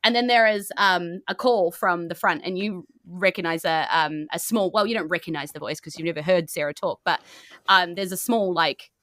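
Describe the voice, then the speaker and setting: A woman with a New Zealand accent improvising tabletop game narration as game master, in an animated, informal way. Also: lowish voice, moderate pace, dry recording.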